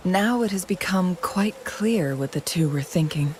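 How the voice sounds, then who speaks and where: An actress playing a calm, perceptive female strategist in a video game, speaking in a measured, formal, articulate way. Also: lowish voice, slight background noise. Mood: reflective. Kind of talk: scripted video game dialogue. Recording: music behind the voice.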